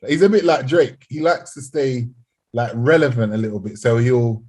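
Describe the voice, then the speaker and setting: Man, husky voice, casual chat, mid pitch. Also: a little mellow. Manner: agreeing, quick reactions. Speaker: podcast co-host.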